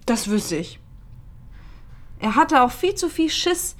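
A woman's voice: sits high, very animated, takes it slowly, fairly bright tone, exaggerated voice. An actress playing a grieving young woman, loyal confidante to a dead friend, in a screen drama. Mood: subdued, sad. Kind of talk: dramatic film dialogue.

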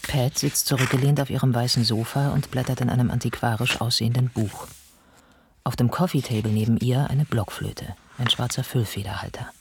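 Radio play narrator: actor as male chronicler, medium pitch, observant, factual, measured delivery. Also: fairly even intonation, noisy background, natural voice. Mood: detached, observant. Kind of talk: scripted radio drama narration.

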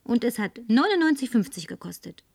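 A breathy, tipsy seductress. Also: female voice, on the high side, sing-song, brisk, darkish tone, dry recording, clean studio sound, heavily acted. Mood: flirty. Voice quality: breathy, whispery. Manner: coaxing, seductive, at times demanding. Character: lonely, tipsy, flirtatious woman. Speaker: advertising agency woman. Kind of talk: German film dub.

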